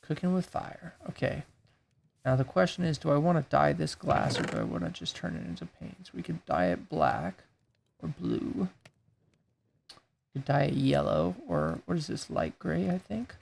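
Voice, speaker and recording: A man with a raspy voice that is going hoarse, thinking aloud in a laid-back way while he builds in a game. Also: fairly high pitch, huge pitch swings, a little slow, noisy background. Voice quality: gravelly, raspy. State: losing his voice. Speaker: gaming YouTuber. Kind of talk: casual gameplay commentary.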